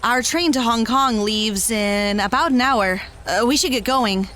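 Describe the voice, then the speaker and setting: A woman's voice: fairly high pitch, a little slow, fairly bright tone, a little hiss or hum. A woman playing a spirited, friendly young female adventurer in an audio drama.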